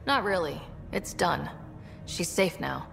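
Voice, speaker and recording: Wry, echoing space explorer. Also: female voice, on the low side, lively intonation, slow, gravelly, over music, heavily acted. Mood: stern. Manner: direct, wry. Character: confident, dry-witted young female space explorer. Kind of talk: video game character dialogue.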